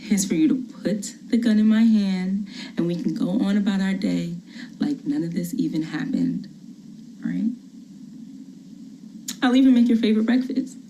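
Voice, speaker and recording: An actress playing a desperate young mother who softly pleads with her small son to put down a gun, then turns angry, acting a monologue over a video call. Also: a little high, slight background noise, natural voice, poor audio. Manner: shifts from soft pleading to angry threats. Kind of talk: acted dramatic monologue.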